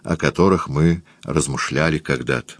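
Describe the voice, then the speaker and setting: A man with a very low voice reads a first-person memoir at an unhurried, deliberate pace. He sounds close and dry, with no room around him. He lifts his voice at the start of new phrases and sentences. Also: no room sound. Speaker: audiobook narrator. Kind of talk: scripted first-person literary reading.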